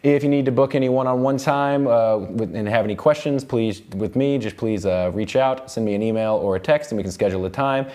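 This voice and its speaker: A man's voice: medium pitch, a little fast, hardly any pauses, darkish tone. An American man in his thirties giving a casual, loosely phrased live tutorial as a music production instructor. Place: indoor recording studio control room.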